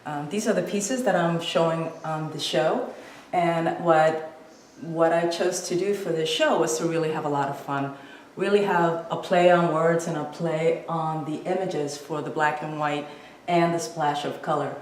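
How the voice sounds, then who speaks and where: A woman's notably low voice rings in an echoey room with faint noise behind it. She gives an informal explanation of artwork as an exhibiting artist. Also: fairly even intonation, measured pace. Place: indoor art gallery.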